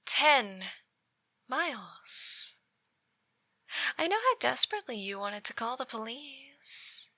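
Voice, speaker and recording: A woman playing an obsessive yandere ex-girlfriend in an ASMR roleplay, speaking with menacing sweetness and slipping into breathy whispers. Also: high-pitched, sing-song, slow pace, pauses often, sharp tone, full character voice. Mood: menacing, possessive. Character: obsessive yandere ex-girlfriend stalking her former lover. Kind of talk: ASMR roleplay, scripted monologue.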